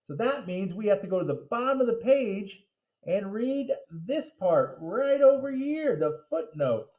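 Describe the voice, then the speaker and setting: Very animated high-voiced man reading aloud. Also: measured pace, roomy sound, lo-fi sound. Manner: playful, dramatic, chatty asides. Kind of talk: animated children's storybook read-aloud.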